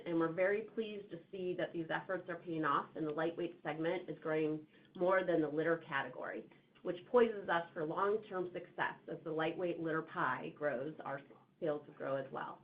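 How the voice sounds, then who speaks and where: A woman executive giving a prepared business answer on an earnings call, in measured corporate phrasing. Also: on the low side, slight background noise. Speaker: company executive answering investor questions. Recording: thin, phone-like call line.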